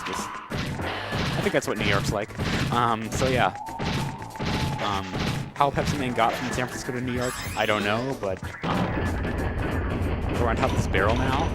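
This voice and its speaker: A man giving casual, laid-back live commentary as he speedruns a video game, hesitant and dryly joking. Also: medium pitch. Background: loud video game music and sounds.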